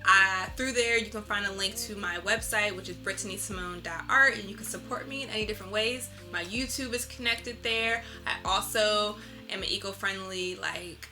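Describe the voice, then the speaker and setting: Woman, interview guest, very bright and crisp-edged tone, expressive casual talk, light music underneath. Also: mid-range voice, normal speed. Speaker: interview guest, visual artist.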